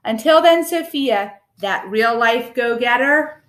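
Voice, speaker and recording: A woman reads a children's picture book aloud expressively, with a measured, rhythmic delivery of rhyming verse. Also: fairly high pitch, lively intonation, fairly bright tone.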